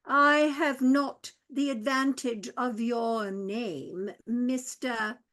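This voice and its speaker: A woman playing a pompous, slow-moving male butler in a radio play, solemnly complaining of his aches. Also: a little high, fairly bright tone. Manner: ponderous, solemn, complaining about ailments.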